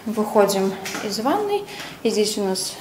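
A woman's voice: mid-range voice, very animated, unhurried, noisy background. A young woman giving a casual, chatty hotel tour while filming with a phone held at arm's length, with plenty of fillers and self-corrections. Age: young adult. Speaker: travel agency vlogger touring a hotel. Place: indoors in a hotel room and outdoors on resort grounds. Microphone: phone camera at arm's length.

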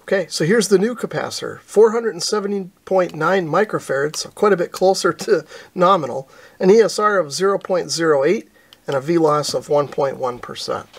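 An American man in his forties with a fairly high voice hosts a hands-on repair tutorial. He explains each step casually and plainly, like a hobbyist showing others the process. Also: expressive, brisk, gravelly, breathy edge. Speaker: host of a radio repair channel. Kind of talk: instructional narration of an electronics repair.

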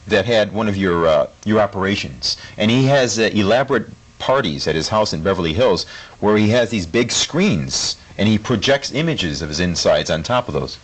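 A man's voice: on the low side, very animated, normal speed. A man playing a straight-faced, curious TV interviewer in a mock interview, earnest and deferential, with hesitant phrasing. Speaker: talk show host.

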